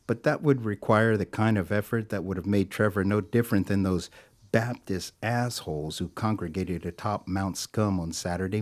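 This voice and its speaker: A man narrating a scripted audio drama as a storyteller, with a measured, wry, theatrical delivery. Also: on the low side, a little mellow, lightly acted.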